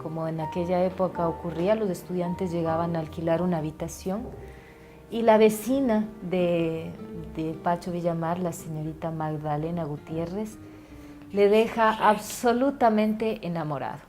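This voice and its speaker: A woman's voice: on the low side, moderate pace, a little mellow. A woman giving reflective commentary as a drama creator, searching for her words. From Ecuador. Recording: music behind the voice.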